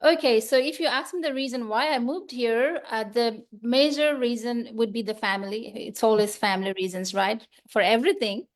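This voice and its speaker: Woman from Nepal, nurse, friendly, step-by-step answers, fairly high voice. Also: moderate pace, hardly any pauses. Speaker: guest, nurse. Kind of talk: casual interview answers on a video call. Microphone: video call.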